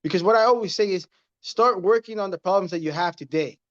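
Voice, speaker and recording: A man's voice: high voice, quick pace, highs cut off. A man in his thirties, a guest in a casual interview, talking earnestly and repeating his points like a motivational speaker. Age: 30s.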